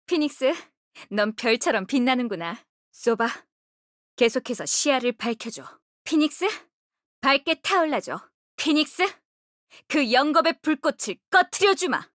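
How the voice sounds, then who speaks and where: A woman's voice: high-pitched, expressive, unhurried, fairly bright tone, no echo, clean studio sound. A woman voicing a resolute, composed young female healer and guardian in a video game, speaking firmly with a solemn, commanding manner. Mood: composed, determined. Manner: solemn, commanding, short crisp delivery. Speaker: game character voice actor. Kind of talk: video game character voice lines.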